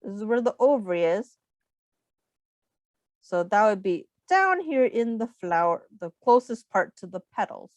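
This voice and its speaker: A woman reading a children's book aloud as a teacher, expressively, pausing to explain things simply and ask young listeners questions. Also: mid-range voice, expressive, a little mellow, dry recording, lo-fi sound.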